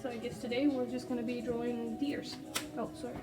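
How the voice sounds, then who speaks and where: A woman who speaks English as a second language, rambling hesitantly with many pauses as she sketches. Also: on the high side, little pitch movement. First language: not English. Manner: hesitant, many ums, self-deprecating. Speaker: artist sketching on camera. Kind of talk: casual rambling vlog while drawing. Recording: distant mic with background music.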